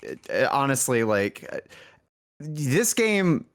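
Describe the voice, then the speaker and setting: A man's voice: mid-range voice, very animated, takes it slowly. A man who works as a game developer and writer, chatting casually in a group interview in a rambling, hesitant way. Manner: hesitant, rambling, filler-heavy.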